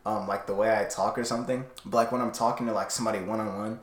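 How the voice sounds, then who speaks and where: A young man co-hosting a casual podcast, moving between a loud projected voice and quieter, lower talk. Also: steady tone, fast talker, reverberant. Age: young adult. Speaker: podcast co-host. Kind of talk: casual chat.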